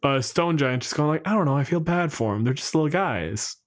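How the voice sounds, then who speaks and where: A man's voice: on the high side, lively intonation, a little fast. Casual, sweary man co-hosting a podcast. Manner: casual, profane, wry. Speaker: podcast co-host. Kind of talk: casual chat about tabletop game monsters.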